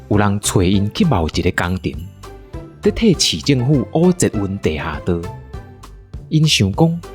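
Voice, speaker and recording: A woman with a Taiwanese accent hosting and narrating a playful scripted story. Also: a bass voice. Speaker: podcast host and storyteller.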